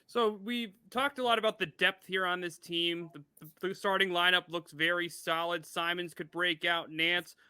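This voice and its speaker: Male sports interviewer. High pitch, dry close sound, conversational questioning. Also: fairly even intonation, moderate pace, pushed and bright, smooth, no room sound. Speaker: sports show host, interviewer.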